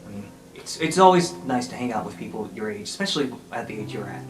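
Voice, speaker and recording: A man voicing an awkward, gentle older man who counsels a youngster haltingly in a roleplay podcast. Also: lowish voice, animated, reverberant, faint music behind, lightly acted, poor audio. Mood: gentle resignation. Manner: hesitant, kindly, trailing off.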